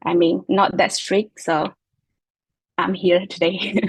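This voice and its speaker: A woman's voice: lowish voice, little pitch movement, slow pace, breathy edge, dry recording. Hesitant Burmese-accented young woman. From Myanmar. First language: Chin. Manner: hesitant, many fillers. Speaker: international graduate student. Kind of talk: interview answers.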